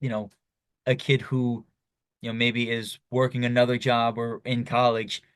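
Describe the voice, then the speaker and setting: A man's voice: mid-range voice, level tone, normal speed, clear, resonant tone, dry recording. A young man hosting a podcast, asking long, loose questions with lots of you-knows. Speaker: podcast host. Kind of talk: casual interview chat.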